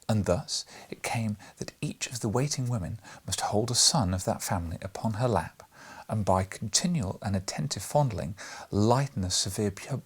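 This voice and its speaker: A male audiobook narrator with a fairly low, husky voice. He reads a storybook in a measured, dramatic way.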